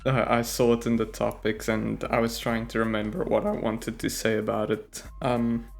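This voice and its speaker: A man's voice: fairly low pitch, monotone, normal speed, creaky, faint music behind. A South African man, a native Afrikaans speaker, co-hosting a casual podcast chat, hesitant and laughing often. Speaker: podcast co-host. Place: living in America.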